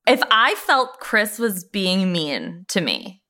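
A woman's voice: medium pitch, sing-song, slow, bright, forceful tone. A woman co-hosting a podcast in casual, emphatic banter, repeating words to drive her point home. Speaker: podcast co-host. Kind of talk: casual banter about a TV show.

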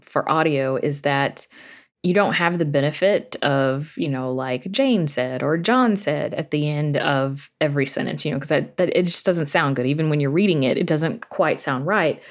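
A woman speaking as an interview guest, explaining her thoughts casually and peppering them with "you know.". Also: sits low. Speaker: podcast creator and narrator, interview guest.

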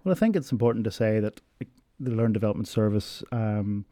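A man explaining university learning support in a measured interview, pausing often on ums. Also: on the low side, lively intonation, dark tone, no echo, clean studio sound. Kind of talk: informational interview answers.

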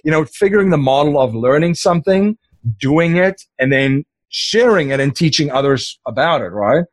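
A man's voice: a little high, expressive, moderate pace, no room sound. A middle-aged man, an Afrikaans speaker, talking casually in an interview, rambling with many fillers and restarts. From South Africa. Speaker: podcast host as interview guest.

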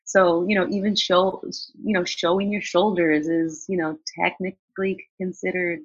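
Husky woman chatting about travel. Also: lowish voice, normal speed, dry recording. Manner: chatty, relaxed, many fillers and restarts. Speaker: guest. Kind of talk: casual interview answers. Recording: dull lo-fi sound.

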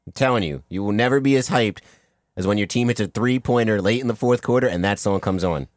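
A young man with a very low voice in casual, laid-back chat among friends, laughing often. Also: fast, no echo, studio quality. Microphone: studio mic.

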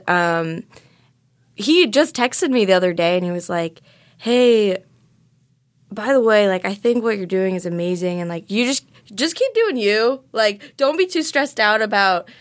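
A woman's voice: mid-range voice, expressive, normal speed. A young woman born in Vietnam, talking as a guest actress in a casual interview, bubbly and full of fillers and laughs.

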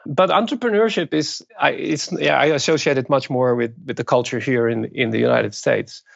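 A middle-aged man from the Netherlands with a Dutch accent, speaking as an interview guest in a thoughtful way with many pauses. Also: mid-range voice, vocal fry, no echo, dull audio. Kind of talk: interview conversation.

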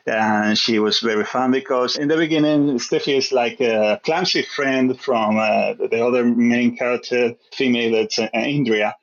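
A man's voice: medium pitch, normal speed, few pauses, muffled recording. A man from Spain with a Spanish accent, a guest author answering interview questions over a remote call, hesitant and full of fillers as he searches for English words.

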